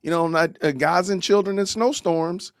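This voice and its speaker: A man's voice: high-pitched, normal speed, dry recording, studio quality. A man hosting a casual live-stream podcast, ranting with rising emphasis. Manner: animated, preachy rants with rising emphasis. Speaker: podcast host.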